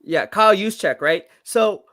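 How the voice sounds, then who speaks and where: High-voiced, fast-talking sports stream host. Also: male voice. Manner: energetic, fast-talking, full of fillers and repeats. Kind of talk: casual sports analysis livestream.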